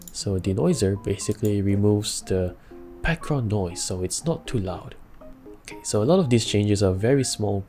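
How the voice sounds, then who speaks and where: A young man giving a casual music production tutorial from a home studio, chatty and relaxed, laughing between steps and using plenty of fillers. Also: lowish voice. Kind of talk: casual screen-recorded tutorial.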